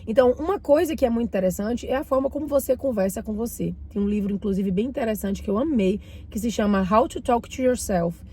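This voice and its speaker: A woman's voice: fairly high pitch, fast, hardly any pauses, breathy edge. A woman from Bahia with a Bahian Brazilian accent, talking casually to her viewers as a channel host while still getting over a cold. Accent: Bahian Brazilian Portuguese. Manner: warm, chatty, addresses viewers directly. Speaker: mindset and finance channel host. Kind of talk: casual talk to camera, reading and commenting a book.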